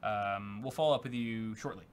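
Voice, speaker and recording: A man's voice: fairly low pitch, moderate pace. A young man co-hosting a tech show, casually chatting and reading viewer donations aloud with lots of fillers. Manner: upbeat, reads messages aloud with filler words. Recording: faint background noise.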